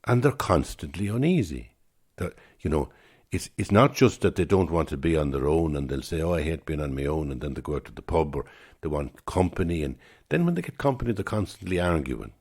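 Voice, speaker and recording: A man in his sixties with an extremely deep, husky voice hosts a solo podcast. He muses thoughtfully, circling back and repeating words as he works out each idea. His tone stays unhurried and reflective. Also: big pitch swings, a little mellow. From Ireland. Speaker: podcast host.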